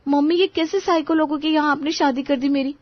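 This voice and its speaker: Woman acting a high-voiced, expressive young daughter-in-law. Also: a little fast, no echo, dull audio, close to an everyday voice. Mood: exasperated complaint. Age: young adult. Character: young daughter-in-law, polite but exasperated and scheming. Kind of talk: dramatized story dialogue.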